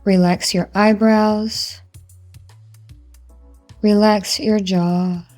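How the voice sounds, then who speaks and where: A woman's voice: medium pitch, fairly even intonation, darkish tone. A woman narrating a hypnotic guided meditation in a soothing, instructional way, as a guide leading the listener. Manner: slow, soothing, instructional delivery. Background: background music.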